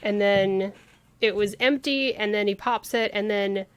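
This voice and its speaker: A woman's voice: medium pitch, measured pace, clear, resonant tone, dry recording. A woman livestreamer giving casual live commentary on a game, thinking aloud in a musing way. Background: game music.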